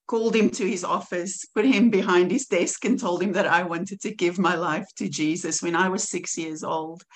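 Podcast guest: South African woman in her forties, somewhat low voice, sincere and reflective. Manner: earnest and reflective, laughs easily. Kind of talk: personal testimony in conversation.

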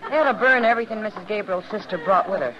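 A woman playing a worldly, warm-hearted saloon hostess in a radio western, with an American accent and a frank, familiar manner. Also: medium pitch, expressive, very fast, lightly acted. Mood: concerned. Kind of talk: 1950s radio western acting. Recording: dull old radio recording, saloon crowd murmur.

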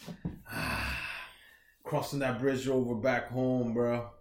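A man's voice: mid-range voice, little pitch movement, slow pace. Podcast dude, swears constantly, slangy and blunt, roomy echo on his mic. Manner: brash, profane, slangy. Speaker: podcast co-host. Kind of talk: casual chat.

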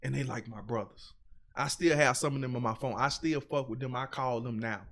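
A man from Buffalo holds forth as a podcast guest, blunt and profane, piling one point on top of the next. His voice sits at an everyday middle pitch, and he tells his stories with lively, animated swings. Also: brisk. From Buffalo, New York. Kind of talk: casual podcast conversation.

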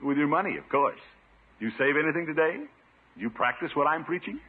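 Pompous, animated middle-aged radio uncle. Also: male voice, a little high, fast talker, breathy edge. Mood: smug, lecturing. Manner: pompous, blustering, nervous chuckling. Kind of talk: 1950s radio sitcom acting. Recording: dull, muffled 1950s radio recording.

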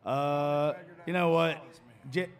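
A man's voice: a little high, fairly even intonation, takes it slowly. A man hosting a podcast recorded live at a music venue, loose, joking and profane. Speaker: podcast host. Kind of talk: casual live podcast chat.

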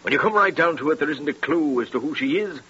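Husky, brisk police inspector, male. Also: fairly high pitch, expressive, pushed and bright, exaggerated voice. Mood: businesslike. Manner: terse, matter-of-fact, authoritative. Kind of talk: 1930s radio acting. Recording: dull, lo-fi 1930s radio recording.

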